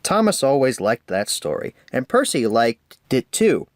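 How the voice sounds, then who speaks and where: A man narrating a children's storybook aloud with deliberate phrasing. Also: medium pitch, huge pitch swings, no room sound, clean studio sound.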